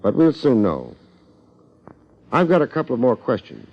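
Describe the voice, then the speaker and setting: An actor playing a wry, hard-boiled American detective with deadpan wisecracks, in 1950s radio drama style. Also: male voice, medium pitch, moderate pace, vocal fry, heavily acted. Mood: sardonic, pressing. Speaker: detective and narrator. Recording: dull, band-limited 1950s radio recording.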